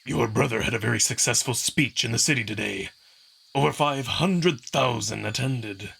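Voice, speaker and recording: A man voicing a stern, imperious wolf mentor, speaking in a measured, contemptuous and commanding way. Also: mid-range voice, vocal fry, fairly bright tone, husky, exaggerated voice. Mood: coldly commanding.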